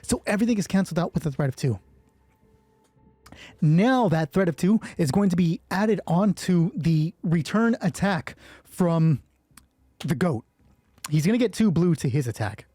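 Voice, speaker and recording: A man with a soft, dark and husky voice talks himself through a solo tabletop game as its game master. He works things out aloud in a casual way, with offhand swearing. The room echoes a little and faint music plays behind him. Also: on the high side. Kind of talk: casual running commentary on dice rolls.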